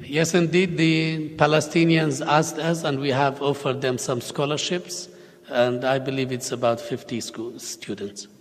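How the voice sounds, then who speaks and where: A man giving measured, diplomatic remarks as a panel speaker in a conference hall. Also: mid-range voice, fairly even intonation. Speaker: panel speaker for a regional government. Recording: long reverb of a conference hall.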